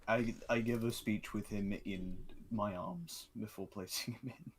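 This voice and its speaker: A man's voice: on the low side, measured pace. A man speaking casually and in character as a player in an online tabletop game.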